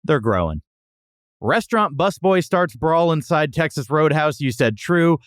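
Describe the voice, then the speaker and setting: A man's voice: a little high, big pitch swings, a little slow, fairly bright tone, no echo, studio quality. A man hosting a podcast in a casual, bantering conversational style. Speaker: podcast host. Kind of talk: casual bantering review chat.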